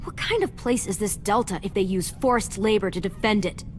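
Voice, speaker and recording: A woman's voice: mid-range voice, normal speed, a little hiss or hum, close to an everyday voice. An actress playing a tough, determined teenage girl in a video game, speaking in a firm, blunt, controlled way. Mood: defiant, firm.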